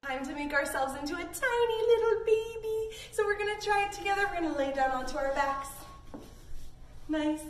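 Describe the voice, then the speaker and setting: A woman teaching yoga to young children on camera in a playful, encouraging, animated way. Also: very high-pitched, normal speed, a little hiss or hum. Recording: echoey room, distant microphone.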